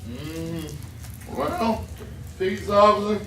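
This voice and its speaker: A man's voice: high-pitched, animated, very slow, bright, forceful tone, distant mic, exaggerated voice. An actor playing a stubborn backwoods feuding man with a folksy, hesitant manner, in a 1950s radio western. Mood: sheepish. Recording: echoey, faintly noisy old radio recording.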